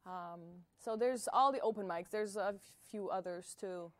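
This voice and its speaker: Young woman from bosnia, hesitant soft voice, lots of um and you know, dry sound. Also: mid-range voice, a little slow, dry recording. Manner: soft-spoken, hesitant, trailing off with fillers. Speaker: singer-songwriter guest. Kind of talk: casual interview answers.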